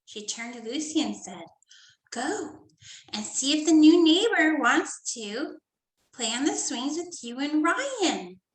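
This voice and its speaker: Sing-song teacher reading to small children. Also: female voice, high voice, takes it slowly. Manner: sing-song and animated, with frequent soft whispered asides. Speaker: teacher reading a story to first graders. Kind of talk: storybook read-aloud lesson for young children. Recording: echoey room.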